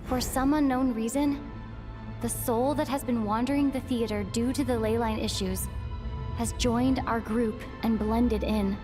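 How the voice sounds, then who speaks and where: An actress playing a composed, logical young woman investigator in a video game, explaining in a measured way. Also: fairly high pitch, steady tone, over music, close to an everyday voice. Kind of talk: scripted game dialogue.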